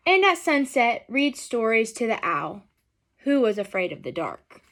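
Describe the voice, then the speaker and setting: A woman's voice: fairly high pitch, sing-song, unhurried, a bit bright. A young adult woman reading a picture book aloud expressively and putting questions to children in an instructional way. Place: small furnished room at home, indoors.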